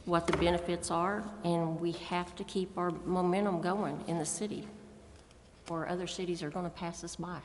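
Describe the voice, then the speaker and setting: Even, lower-voiced woman in a hall. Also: normal speed. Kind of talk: meeting discussion. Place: indoor council chamber. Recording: reverberant chamber with faint noise.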